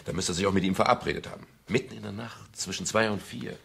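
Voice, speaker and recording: An actor playing a dry, laconic private detective who narrates his own case in a measured, wry way in a seventies radio crime play. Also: on the low side, gravelly, a bit bright. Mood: pondering. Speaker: actor and first-person narrator in a radio drama. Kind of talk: 1970s German radio crime drama acting. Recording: noisy old radio recording with clicks.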